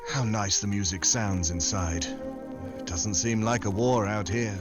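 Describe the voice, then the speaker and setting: An actor playing a suave, unflappable secret agent in a 1940s-style radio drama, with a smooth, wry, flirtatious manner. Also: male voice, on the low side, unhurried, over music, lightly acted. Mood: romantic, wry.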